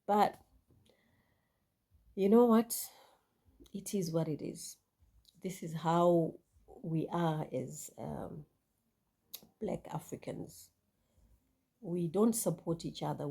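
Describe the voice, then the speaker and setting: A woman's voice: fairly low pitch, dark tone, slightly rough. A Zimbabwean woman vlogger speaking reflectively to her viewers, with many fillers and restarts. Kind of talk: casual talk to camera.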